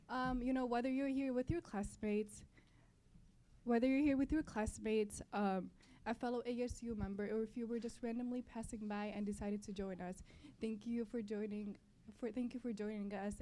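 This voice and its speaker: Young woman, Palestinian-born, native Arabic speaker, slightly high voice. Student club speaker addressing an event, a little hesitant. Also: dark tone, slight background noise. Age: young adult. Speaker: student club board member giving introduction. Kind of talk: welcome speech to an audience. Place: college library event.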